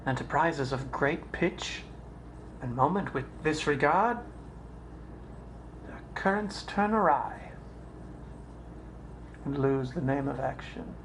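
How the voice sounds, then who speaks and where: A brooding prince speaks a Shakespearean soliloquy, acted by a stage performer. The delivery is slow and deliberate, full of weighty pauses. Faint noise sits under the home recording. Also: medium pitch. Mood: grave, troubled. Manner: deliberate, pausing, dropping to whispers. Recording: noisy amateur home recording.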